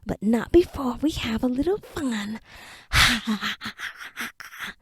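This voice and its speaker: A woman voicing a pack of cruel, non-human creatures that chant slowly and cackle in half-whispered taunts. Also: fairly high pitch, expressive, a bit bright, husky, no echo, clean studio sound, heavily acted. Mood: mocking. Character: cruel mocking dark creatures of a forest realm.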